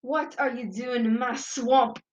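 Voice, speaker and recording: A solitary, blunt storybook ogre, acted by a woman reader with a raised pitch. He guards his privacy and speaks plainly. The recording sounds slightly dull and muffled. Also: steady tone, a little slow, lightly acted. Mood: indignant.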